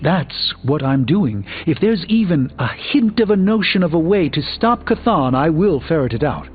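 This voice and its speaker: A man's voice: a little high, very animated, moderate pace. Video game sorcerer superhero, a middle-aged man, articulate and drily wry, formal scholar; thin phone-like sound over music. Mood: earnest, wry. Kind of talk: video game character dialogue.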